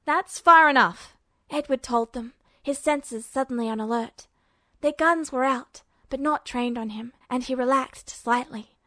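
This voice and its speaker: Female storyteller, high voice, scripted audiobook-style narration, dry close recording. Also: steady tone, unhurried, a bit bright, no room sound.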